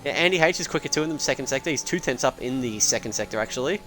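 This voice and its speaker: A man's voice: mid-range voice, animated, rapid-fire, fairly bright tone. A young Australian man doing live sim racing commentary, chatty and joking, with casual swearing.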